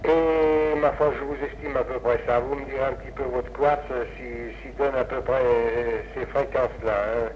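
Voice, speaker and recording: A muffled, noisy 1960s amateur radio recording of a Frenchman in a casual contact. His pitch sits in the middle range for a man. He rambles along, hesitating and repeating himself as he talks to a fellow operator. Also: fairly even intonation, normal speed, few pauses.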